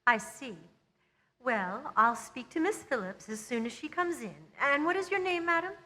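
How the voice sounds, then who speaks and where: A woman's voice: high voice, lively intonation, moderate pace, bright, forceful tone, big-room sound. An actress playing a formal, polite but unyielding female hospital receptionist in a radio drama. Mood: politely unhelpful.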